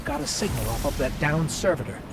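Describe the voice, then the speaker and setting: Quick-thinking robot companion, casual game dialogue, bouncy varied intonation, highish voice, loud noise behind. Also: male voice. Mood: brisk, alert. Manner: brisk, casual, animated.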